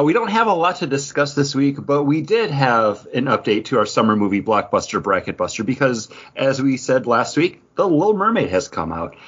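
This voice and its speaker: A man chats casually as a podcast co-host, describing things in an easy conversational flow. His voice is a little rough and husky, with animated intonation. The audio is slightly muffled. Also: mid-range voice.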